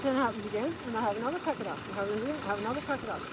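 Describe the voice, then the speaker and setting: A woman's voice: on the high side, very fast. An Australian woman making an aggrieved complaint in conversation, insistent and repetitive, firing off rhetorical questions. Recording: noisy outdoors, engine and vehicle sounds.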